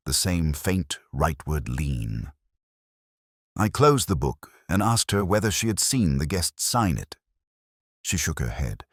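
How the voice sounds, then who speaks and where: A man with an extremely low voice narrates a first-person detective tale. His delivery is measured and literary, with plenty of rise and fall in pitch. The sound is dry, with almost no room around it. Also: no echo.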